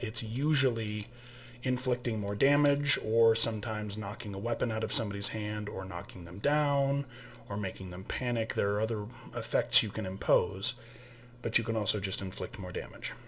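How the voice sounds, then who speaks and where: A man's voice: fairly low pitch, normal speed, slight background noise. A man who ran the game, casually explaining a tabletop game's rules and holding up items as he talks, with some restarts along the way. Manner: relaxed, with fillers and restarts, showing items to camera.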